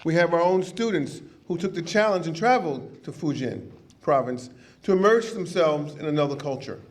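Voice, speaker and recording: A man speaking as mayor, opening an official ceremony with prepared remarks. His voice sits somewhat high for a man, and his delivery is measured and formal, with a light, self-deprecating humor. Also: expressive.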